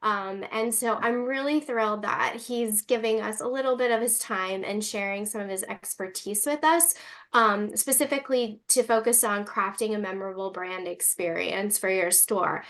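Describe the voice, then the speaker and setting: A woman's voice: medium pitch, steady tone, normal speed, fairly bright tone. A woman hosting a webinar on a video call, chatty and loose as she introduces the guest speaker. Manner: chatty, many ums and likes. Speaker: webinar host.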